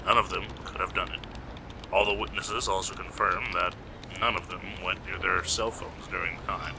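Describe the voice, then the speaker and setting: A man playing a gruff, put-upon police investigator in an amateur radio play, with a terse, grumbling delivery. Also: sits low, fairly even intonation, moderate pace. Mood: glum. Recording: noisy amateur home recording.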